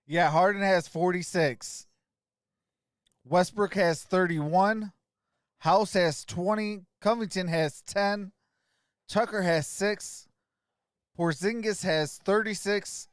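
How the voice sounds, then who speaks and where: Male stream commentator, high-pitched, dry sound. Terse, informal play-by-play calls. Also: takes it slowly, pauses often, clear, resonant tone, dry recording. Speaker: livestream play-by-play host.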